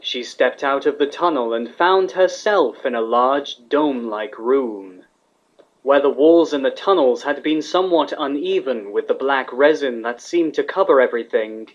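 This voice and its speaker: A man's voice: mid-range voice, lively intonation, moderate pace. A man reading fan fiction aloud as a scripted story narrator. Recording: dull, lo-fi sound.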